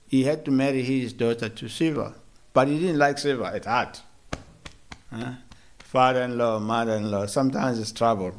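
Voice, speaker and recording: A man from South Africa giving a morning sermon in a temple, slow and measured, with hesitant restarts and gentle humor. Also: medium pitch. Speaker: monk giving a morning lecture. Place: temple hall.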